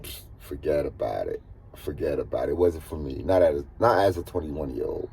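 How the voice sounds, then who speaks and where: A man's voice: low-pitched, warm tone. A man in his thirties with an American accent, chatting casually to viewers as a truck driver vlogging, repeating himself for emphasis. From eastern United States. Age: 30s. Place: inside a truck cab. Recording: clear vehicle noise behind voice.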